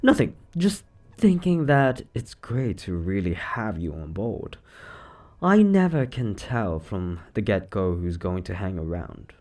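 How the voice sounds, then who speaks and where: A man's voice: deep voice, sing-song, darkish tone. Man playing a swooping, breezy, shady occult shopkeeper. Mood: reassuring, shifty. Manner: chatty, offhand, playfully theatrical, drawn-out words. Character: eccentric shady male occult shop owner, breezy, secretly sinister. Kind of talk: scripted roleplay monologue to the listener.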